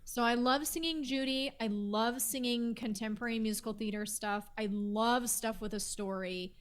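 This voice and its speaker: A woman's voice: a little high, moderate pace. Earnest, enthusiastic woman singer. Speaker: singer guest. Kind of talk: casual interview chat.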